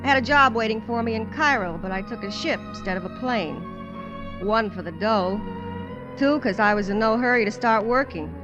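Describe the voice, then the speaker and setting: An actress playing a brassy, confident twenty-nine-year-old dancer in an old American radio drama, with a slangy, frank way of talking and an American accent. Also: female voice, on the high side, moderate pace, lo-fi sound, music in the background, close to an everyday voice. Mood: frank, confiding. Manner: slangy, plain-spoken, frank. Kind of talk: 1940s-50s radio drama acting.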